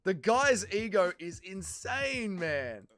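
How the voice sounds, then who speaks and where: A man's voice: sits high, very animated, slow pace, a bit bright. A young man, hosting a casual livestream and bluntly arguing with chatters he reads out. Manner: heated, blunt, calls chatters trolls. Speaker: livestream host reading chat.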